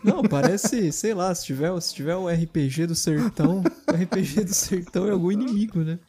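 A man co-hosting a casual podcast, joking playfully and reacting quickly. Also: sits high, mellow, husky, soft background music. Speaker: podcast co-host.

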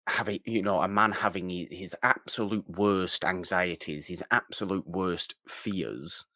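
A man's voice: deep voice, fairly even intonation, unhurried, bright, forceful tone, dry recording, clean studio sound. A man co-hosting a podcast, talking casually about film and repeating his points for emphasis. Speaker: podcast co-host.

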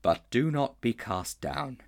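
A man voicing a great lion who speaks slowly, low and deep, with a hint of a growl. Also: animated, vocal fry. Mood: grave. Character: vast solemn male lion, grave and commanding.

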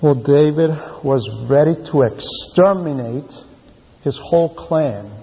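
A man delivering a sermon in short, deliberate phrases, telling scripture stories with emphasis. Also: a little high. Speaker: preacher reading a translated homily. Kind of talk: sermon, retelling Bible stories. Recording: muffled, long reverberant hall echo.

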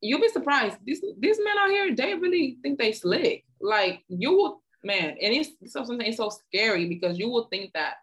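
A woman's voice: a little high, very animated, moderate pace, hardly any pauses, a bit bright, low-quality recording. A woman in her mid-twenties talking frankly and earnestly in casual, slangy chat. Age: 20s.

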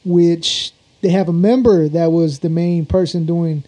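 A man's voice: fairly high pitch, fairly even intonation, a little slow, dark tone. A man hosting a casual interview, putting questions to his guest in an informal, conversational way. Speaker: interview host.

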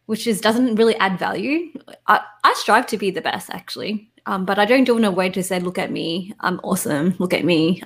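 Woman guest talking casually in an interview, medium pitch, candid and informal, fixes her words midway. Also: slightly rough. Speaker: interview guest, online content creator.

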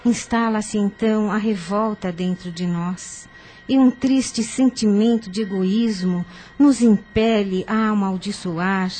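Slow, mellow wise aunt. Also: female voice, medium pitch. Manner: measured, solemn, didactic. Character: wise, patient older aunt giving spiritual counsel. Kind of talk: radio soap opera acting. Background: music.

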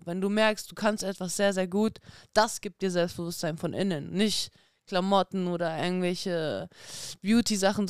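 A woman in her thirties, a former boxing champion guest, talking in a lively, chatty way with many fillers in a casual podcast interview. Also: on the low side, clean tone, no echo, clean studio sound. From Kazakhstan, raised in Germany.

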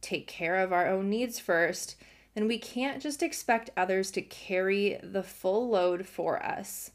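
A young adult woman hosting a self-help podcast, earnest and encouraging, her voice slightly hoarse from a cold. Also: medium pitch. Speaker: podcast host.

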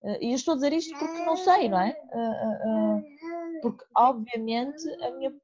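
A woman's voice: high-pitched, animated, normal speed, a crowd in the background. A woman with a northern Portuguese accent from Porto, hosting an interview and thinking aloud with frequent hesitations and restarts. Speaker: podcast host. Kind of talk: conversational interview. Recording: dull lo-fi recording.

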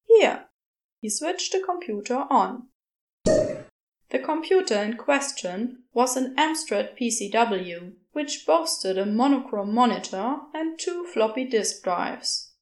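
A woman narrates fiction from a script. Her voice sits fairly high and she reads at a very slow, measured pace. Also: expressive. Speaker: podfic reader.

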